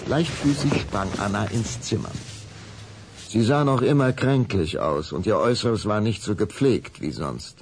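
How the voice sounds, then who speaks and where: A man tells a children's story as narrator, in a low voice with a raspy, creaky edge. His delivery is dramatic, with very animated intonation. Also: measured pace, a little hiss or hum.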